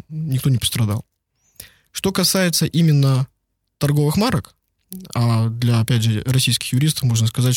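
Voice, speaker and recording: A man's voice: medium pitch, husky, no room sound, clean studio sound. A man, a law firm partner, answering interview questions in a loose conversational way with many fillers. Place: lives and works in Beijing.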